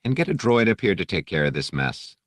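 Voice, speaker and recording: A man's voice: low-pitched, very animated, fairly quick, no echo, voice pushed up. A male narrator plays a genteel bounty-hunting smuggler in an audiobook. He speaks politely and pleasantly, lifted well above the reader's own register, with cool amiability and polished words. The sound is close and dry. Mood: calm command.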